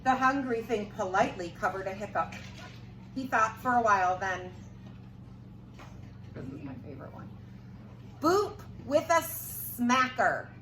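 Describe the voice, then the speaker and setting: A woman teacher reading aloud to young children, expressive and prompting them with questions. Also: mid-range voice, moderate pace, pauses often, distant mic. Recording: roomy echo with clear background noise.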